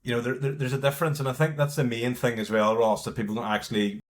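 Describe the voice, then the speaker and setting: A man's voice: mid-range voice, fairly even intonation, fast. Casual Northern Irish man. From north coast of Northern Ireland. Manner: informal, frank, peppered with "you know" and "like". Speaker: company partner and marketing lead, podcast guest. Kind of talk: casual interview talk.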